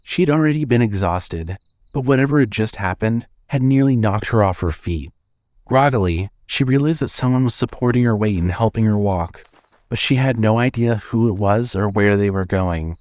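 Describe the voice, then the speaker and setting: A man narrates a scripted horror story in a fairly low voice. His delivery is measured, like a storyteller's, and the recording is very dry with no room sound. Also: darkish tone, no echo.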